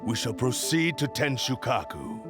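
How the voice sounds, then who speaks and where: A man's voice: mid-range voice, very animated. A man voicing a proud, menacing cursed blade spirit in a video game, speaking in a formal, imperious manner. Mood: commanding. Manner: imperious, formal, slow and deliberate. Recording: music behind the voice.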